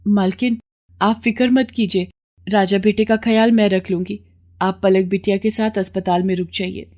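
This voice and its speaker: A woman voicing a humble poor housemaid and mother, meek and gentle, in a moral story. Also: mid-range voice, fairly even intonation, moderate pace, highs cut off, close to an everyday voice.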